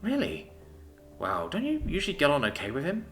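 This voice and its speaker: Man doing a young woman, high-pitched, sharp and teasing, lively, soft music behind. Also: fairly quick.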